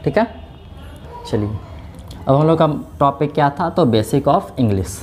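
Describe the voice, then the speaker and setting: A man's voice: on the high side, lively intonation, takes it slowly, a little mellow, faint music behind. A man teaching a class in speech, explaining step by step and answering his own questions. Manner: explaining step by step, keeps saying "theek hai"; asks questions and answers them himself. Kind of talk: classroom lecture.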